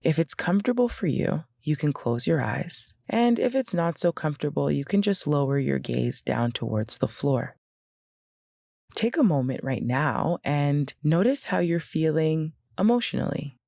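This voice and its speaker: A woman leading a guided meditation in a gentle, unhurried, instructional way with pauses between phrases. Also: deep voice, lively intonation, gravelly, no room sound, studio quality.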